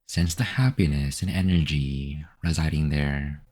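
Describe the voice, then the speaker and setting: A man narrating a guided meditation as the guide, in a measured, instructional manner. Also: extremely low pitch, darkish tone.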